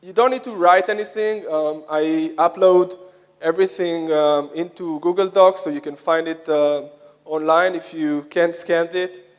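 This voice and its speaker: Long hall reverb surrounds a man with an Israeli accent and a somewhat high-set voice. He is presenting to a conference audience. His delivery is halting, with many hesitations and phrases begun again. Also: fairly even intonation, clean tone. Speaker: conference speaker, software product lead.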